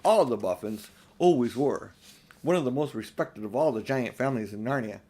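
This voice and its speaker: A little storybook faun, played by a male narrator, genteel and knowing. He chats along at a middling pitch, explaining things politely. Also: animated, normal speed, dark tone, lightly acted. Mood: fond, explanatory.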